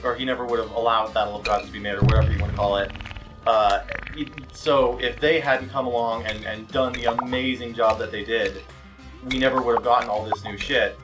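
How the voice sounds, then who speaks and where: Guy rambling and swearing thru a lets play, roasts himself, music pretty loud behind him. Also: fairly low pitch, fairly even intonation, a little fast, recorded from a distance, low-quality recording. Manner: profane, self-deprecating, rambling; exclaims at the game mid-sentence. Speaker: video game let's player. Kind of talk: rambling casual gameplay commentary.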